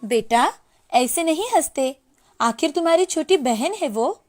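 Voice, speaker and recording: A woman playing a supportive, patient wife and mother in a storybook tale, soft-spoken, with music behind. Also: high voice, lively intonation, unhurried. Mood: gently scolding.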